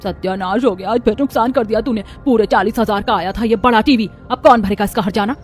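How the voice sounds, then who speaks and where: A woman voicing a quarrelsome middle-aged mother-in-law in an animated family drama, scolding and contemptuous. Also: medium pitch, fast, faint music behind, natural voice. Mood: furious, scornful.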